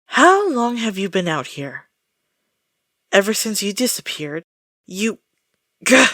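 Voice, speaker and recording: A woman playing an exasperated, jumpy friend caught up in a haunted-house outing, sarcastic and expressive, in an audio roleplay. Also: medium pitch, no room sound, clean studio sound, full character voice. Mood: angry, unnerved.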